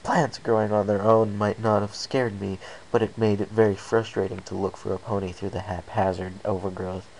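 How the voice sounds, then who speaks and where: A man with a low, creaky voice reading a scripted first-person adventure story as narrator. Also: level tone, normal speed, few pauses, a little mellow, poor audio. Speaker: amateur story reader. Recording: faint background hiss.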